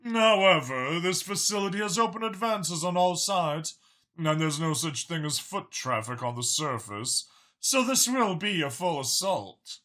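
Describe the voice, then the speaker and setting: A man's voice: a little high, animated, measured pace, bright, forceful tone. A man voicing a calculating, mild-mannered dragon spymaster in an audiobook, articulate, formal and matter-of-fact about killing. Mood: commanding. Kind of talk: audiobook character dialogue.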